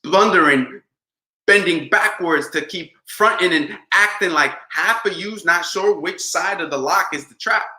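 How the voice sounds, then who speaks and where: A man with a high, very bright voice performs slam poetry in a rhythmic, dramatic way. His pace keeps shifting between rushed and drawn out. The sound is echoey, as from a room on a video call. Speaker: spoken word poet.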